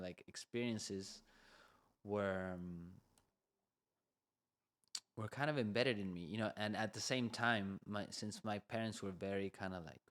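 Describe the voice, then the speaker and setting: Argentine man, rambling easy storytelling. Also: fairly low pitch. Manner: rambling, many fillers like "you know". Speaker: podcast co-host. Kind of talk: casual conversational storytelling.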